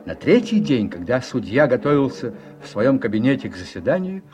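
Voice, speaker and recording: A man's voice: medium pitch, very animated, a little fast. A man reading scripted narration for a radio play. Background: music.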